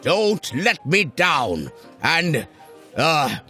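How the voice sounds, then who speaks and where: An actor playing a calm, patient small-town cartoon sheriff, measured, folksy and diplomatic. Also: male voice, fairly high pitch, faint music behind, exaggerated voice. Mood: calm, firm.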